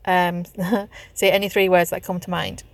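A woman's voice: on the low side, little pitch movement, measured pace. A woman from Northeast England with a Northeast English accent, chatting casually in a car with lots of hesitant ums. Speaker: vlogger doing an accent challenge. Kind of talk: casual chat. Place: sitting in a car.

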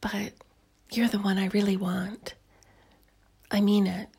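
A woman playing a lustful, coaxing seductress in an intimate audio roleplay for adults, speaking to her lover in a breathy, whispery, drawn-out way. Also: medium pitch, flat delivery, darkish tone, exaggerated voice. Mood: hushed, seductive. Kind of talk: intimate erotic audio roleplay addressed to the listener.

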